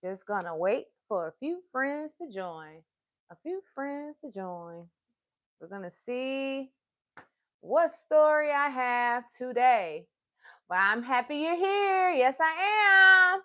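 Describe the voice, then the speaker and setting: A woman hosting a live story time for children, excitable and encouraging, often repeating phrases and addressing her listeners as friends. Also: sits high, slow.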